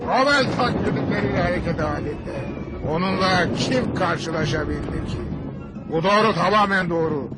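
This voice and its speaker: A man's voice: high voice, expressive, normal speed, low-quality recording. A man voicing a blustery, boastful father in a Turkish cartoon dub, with a theatrical delivery. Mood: self-important storytelling. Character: blustery father spinning tall war stories. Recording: loud music under the voice.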